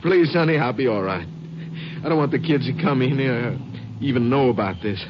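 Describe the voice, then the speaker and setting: A man's voice: medium pitch, lively intonation, brisk, close to an everyday voice. An actor as a young father in a radio drama. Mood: shaken. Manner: earnest, rising to anguished shouts. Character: young married father, shocked grieving son. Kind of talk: 1950s radio drama acting. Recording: muffled 1950s radio recording with hiss.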